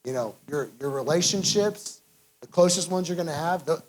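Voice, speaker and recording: A man gives informal, reflective advice to an audience, halting often and leaning on filler phrases as he goes. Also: on the high side. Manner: halting, repeats "you know". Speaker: business owner guest speaker.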